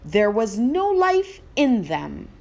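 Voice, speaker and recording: A woman's voice: a little high, wildly swooping pitch, slow, creaky, a little mellow, exaggerated voice. A woman voicing a solemn, commanding king in a storybook read-aloud, stately and declamatory. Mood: revealing. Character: solemn, commanding male king, fair and hearty.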